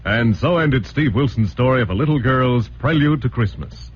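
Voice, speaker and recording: A man's voice: medium pitch, moderate pace, slight background noise. A male radio narrator and announcer giving scripted closing remarks and credits in a polished style. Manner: polished, lively announcer delivery. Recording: dull old radio broadcast over music.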